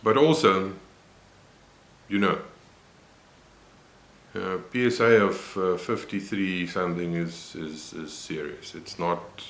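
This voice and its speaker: Deep-voiced older Afrikaans-man. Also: fairly even intonation, creaky, a bit bright, reverberant. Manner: unhurried, reflective, many pauses and fillers. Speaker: vlogger. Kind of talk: personal health vlog.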